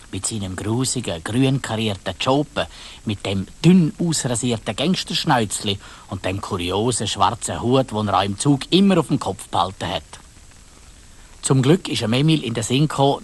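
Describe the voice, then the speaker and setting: A man's voice: medium pitch, breathy edge. A man with a Swiss German accent narrating a children's story in a colloquial way, addressing his listeners directly.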